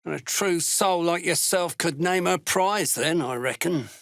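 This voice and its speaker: A gruff dark dwarf slave hunter from a video game, with a gritty, forceful voice that sits a bit high. He is a curt, fanatical cultist who threatens and sneers in a slow, deliberate way. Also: dry recording, clean studio sound. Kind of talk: video game character voice lines.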